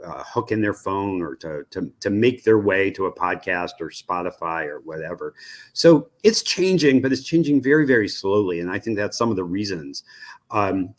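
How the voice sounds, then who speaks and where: An American man speaking as an interview guest, giving conversational expert commentary in a measured way, often halting to search for the right word. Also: fairly low pitch. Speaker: interview guest, radio research executive.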